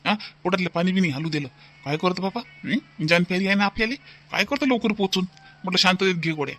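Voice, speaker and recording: An easygoing older village father-in-law from a cartoon comedy , acted by a man, pitched high for a man. He rambles along and repeats himself in a folksy Vidarbha Marathi accent. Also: fast talker, fairly bright tone. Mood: jolly, rambling. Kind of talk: rustic cartoon comedy dubbing.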